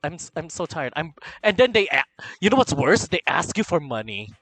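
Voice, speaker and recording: A man from the Philippines chatting casually on a livestream, reading chat aloud in a campy, animated way, drifting between quiet mumbles and loud bursts of excitement. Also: a little high, fairly quick, husky, no echo, faint music behind. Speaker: streamer.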